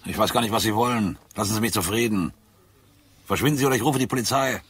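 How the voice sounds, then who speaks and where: Evasive, defensive musician, rather low and husky, guarded, crime radio drama. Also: male voice, fast, a bit bright. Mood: hostile, defensive. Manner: guarded, hesitant under pressure. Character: defensive, evasive male bar pianist. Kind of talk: German crime radio play acting.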